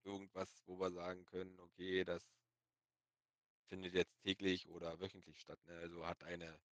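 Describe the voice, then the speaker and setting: Bright-voiced man with a slight lisp. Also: low voice, monotone, normal speed, dry recording. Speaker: podcast guest. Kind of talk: casual podcast chat.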